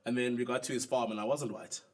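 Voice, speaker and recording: A man's voice: medium pitch, fairly even intonation, fast. Young South African man telling a casual story. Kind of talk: documentary interview, telling a story.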